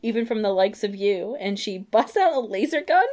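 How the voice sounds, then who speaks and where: A woman co-hosts a recap podcast. Her voice sits at an average pitch. She talks informally, retelling the plot as if to a friend. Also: expressive, normal speed, a little mellow. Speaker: podcast co-host. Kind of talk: casual chat recapping a comic book.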